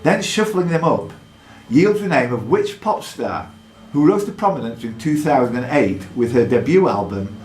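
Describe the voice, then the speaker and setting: A man hosting a live quiz in a pub, reading questions out deliberately and adding jokey asides. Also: mid-range voice, very animated, darkish tone. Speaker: quizmaster. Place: indoor pub. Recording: echoey pub room with background noise.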